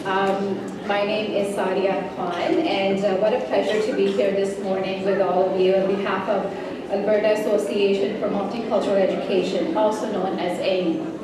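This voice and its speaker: A young woman giving an earnest, measured speech on behalf of a multicultural education association at a community celebration. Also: medium pitch, level tone, a crowd in the background. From Canada. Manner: earnest, measured delivery with occasional slips and restarts. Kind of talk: prepared public speech. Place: large event hall. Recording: echoey hall, distant mic, background noise.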